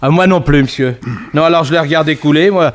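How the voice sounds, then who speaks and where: An actor playing a slow-witted, self-excusing henchman, sheepish yet callous, in a 1960s French radio crime drama. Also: fairly high pitch, natural voice. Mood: sheepish, flustered.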